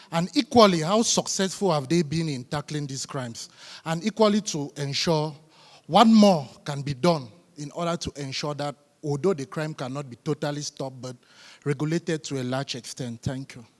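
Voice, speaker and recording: A young man with a Cameroonian accent puts a formal question as a student audience member. His voice is fairly high for a man, with long reverb from the hall around it. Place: large conference hall, public address.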